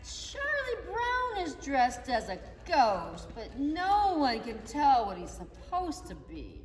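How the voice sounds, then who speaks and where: A woman narrating a children's reader's theater story in an expressive, animated way, recorded from afar in an echoey hall. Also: sits high, slow pace. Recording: echoey hall, mic far off.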